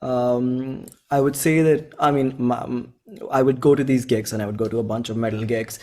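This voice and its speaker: A young man with an Indian accent and a medium-pitched voice chats in an interview. He rambles informally, sprinkles in slang and often voices his own thoughts as little quotes. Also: fairly even intonation, normal speed, mellow. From Bhopal, India. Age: 20s. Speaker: indie musician and songwriter being interviewed. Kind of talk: casual interview chat.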